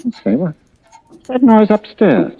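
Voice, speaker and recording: An actor playing a mild, polite, hesitant real estate agent, husky-voiced, in old-time radio suspense style. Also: male voice, high-pitched, huge pitch swings, measured pace, warm tone, heavily acted. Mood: puzzled, uneasy. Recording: dull vintage radio drama recording.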